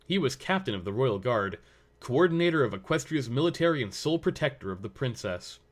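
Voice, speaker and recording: A man's voice: mid-range voice, animated, brisk. A man narrating a scripted story as its reader.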